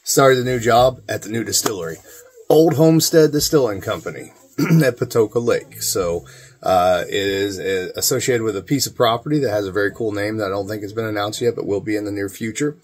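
Man, middle-aged, fairly low pitch, casual talking-head delivery, folksy, small indoor room. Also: brisk, breathy edge. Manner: folksy, informal, addresses viewers as guys. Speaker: distiller and video channel host.

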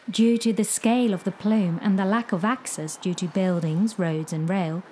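A woman giving a measured, clear scripted narration for a corporate explainer video. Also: mid-range voice, mellow, a little hiss or hum. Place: studio voice-over.

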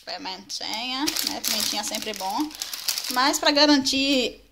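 Higher-pitched young Bahian woman, noisy recording. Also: measured pace, gravelly. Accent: Bahian Brazilian Portuguese. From Salvador, Bahia, Brazil. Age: young adult. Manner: informal, chatty. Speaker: vlogger. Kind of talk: casual vlog chat to camera. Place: at home and walking on city streets. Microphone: handheld camera at arm's length. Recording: noisy handheld recording with ambient noise.

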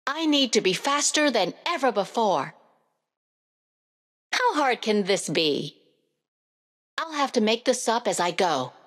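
A woman's voice: on the high side, big pitch swings, measured pace, long pauses, long reverb tail. An actress playing an earnest, determined young woman warrior turned racer, in short, spirited video game lines.

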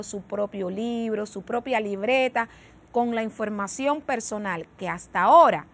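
A middle-aged Cuban woman with a Cuban Spanish accent, teaching an English lesson on educational television in a studio, switching between English and Spanish and speaking slowly and carefully. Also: fairly high pitch, fairly bright tone. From Cuba. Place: TV studio with virtual set.

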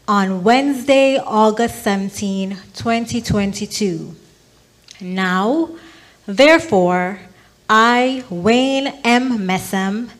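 A woman formally reading a ceremonial proclamation with measured, deliberate phrasing at a podium microphone. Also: medium pitch, rough recording. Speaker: legislative aide reading a proclamation. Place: event hall stage at a podium.

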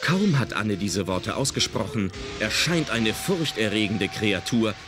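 A man's voice: on the low side, sing-song, unhurried. A man narrating a scripted anime audio drama as the storyteller. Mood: storytelling. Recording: loud music under the voice.

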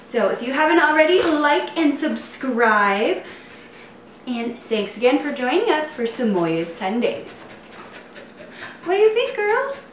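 A young woman with a high, very animated voice chats casually as a vlog host. She slips into playful pet talk. The sound is roomy, picked up from a little way off in a living room. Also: slow. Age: young adult. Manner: playful, cooing to pets. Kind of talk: casual pet unboxing vlog, talking to camera and to pets. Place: living room at home, indoors. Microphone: camera a few feet away. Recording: roomy, distant mic, faint noise.

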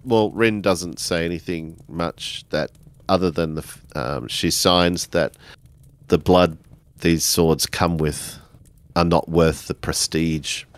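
A man narrating his character's actions in a tabletop role-playing game, halting as he searches for words. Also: low voice, clear, resonant tone, dry recording.

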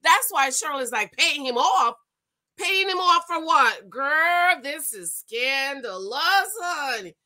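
A woman with a very high, forceful voice gives informal reaction commentary. She is animated and exclamatory, dragging out key words and repeating phrases for emphasis. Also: slow. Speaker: host of a commentary channel. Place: small room at home, indoors.

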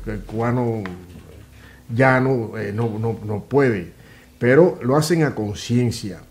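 A man in his sixties, a guest in a political commentary chat, speaking indignantly and emphatically with halting restarts in a small office studio. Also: mid-range voice, darkish tone.

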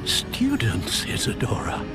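An actor playing a stern, solemn older wizard professor in a video game, speaking formal in a measured way. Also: male voice, natural voice. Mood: stern, alarmed. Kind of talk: dramatic game cutscene acting. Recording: music behind.

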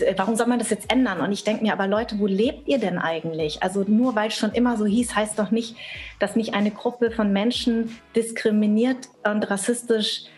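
A woman from Bavaria, around her thirties or forties, discussing in an emphatic, colloquial way on a video call. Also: mid-range voice. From Munich, Bavaria, Germany. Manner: emphatic, colloquial, quotes imagined objectors. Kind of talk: opinionated casual discussion. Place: indoor room on a video call.

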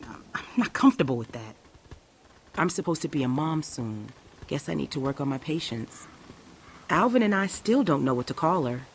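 A woman playing a short-tempered, worn-down pregnant survivor in a video game, frank and a little halting. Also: extremely low pitch.

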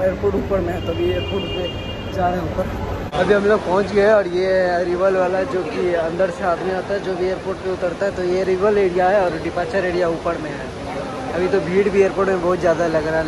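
A young man from Bihar gives casual vlog commentary to camera, often repeating phrases as he explains. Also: sits high. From Bihar, India. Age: young adult. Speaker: vlogger. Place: outdoors at busy airport forecourts and streets. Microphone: phone at arm's length. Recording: loud outdoor traffic and city noise.

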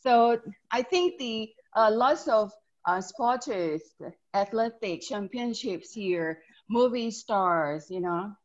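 A woman with a Thai accent asking a polite, halting question on a video call through earphones, heard as dull lo-fi call audio. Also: a little high, lively intonation. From Thailand. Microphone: earphone headset.

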